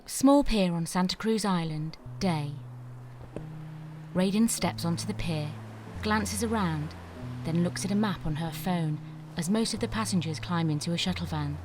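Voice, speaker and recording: A woman's voice: on the low side, moderate pace, music in the background. A woman reading scripted screenplay stage directions as the narrator of an audio drama.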